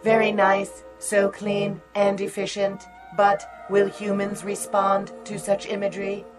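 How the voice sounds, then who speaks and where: An actress playing an emotionless, polite female computer in a science-fiction drama, with a measured, mechanical delivery. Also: medium pitch, monotone, music in the background, lightly acted. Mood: polite, detached. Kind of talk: classic science-fiction radio drama acting.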